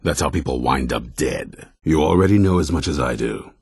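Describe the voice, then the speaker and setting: An extremely deep, husky voice for a video game's old vampire hunter. He is a grim, ruthless commander, stern and blunt. His delivery swings widely in pitch and has a heavy rasp. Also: animated, normal speed, a little mellow, dry recording, studio quality, heavily acted. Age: older. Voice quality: very deep, gravelly, raspy. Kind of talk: video game character dialogue.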